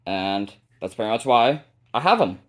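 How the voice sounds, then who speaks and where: Low-voiced man, casual and halting. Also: very animated. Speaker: hobbyist video host showing a radio collection. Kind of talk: casual unscripted product showcase.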